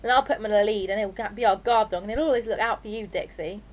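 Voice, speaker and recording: A woman's voice: medium pitch, fast talker, close to an everyday voice. A woman voicing a clever, defiant teenage girl in an audiobook, with a blunt, challenging manner. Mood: protective. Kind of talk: audiobook character voice.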